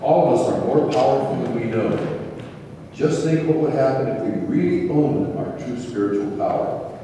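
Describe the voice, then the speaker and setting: A man playing a calm, assured spiritual teacher in an amateur radio play, speaking in measured, preacherly reassurances. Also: medium pitch, close to an everyday voice. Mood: calm, reassuring. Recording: distant echoey hall, faint room noise.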